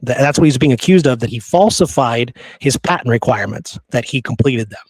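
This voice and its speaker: A man's voice: medium pitch, animated, fairly quick, slightly rough, no room sound, rough recording. Casual man telling history, dry close sound. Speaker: podcast host. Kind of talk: casual conversational history storytelling.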